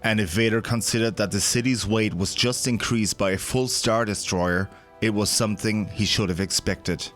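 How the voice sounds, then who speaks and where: Male narrator, fairly low register, dramatic scripted storytelling for audio drama, faint music bed. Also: steady tone, moderate pace, few pauses, a bit bright. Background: background music.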